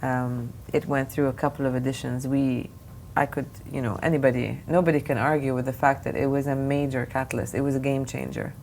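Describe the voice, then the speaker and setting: Low-voiced woman, thoughtful guest. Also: level tone, brisk, gravelly, few pauses, a little mellow. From Lebanon. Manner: thoughtful, frequent restarts and fillers. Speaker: art fair director, guest. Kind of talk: podcast interview answers. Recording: faint background noise.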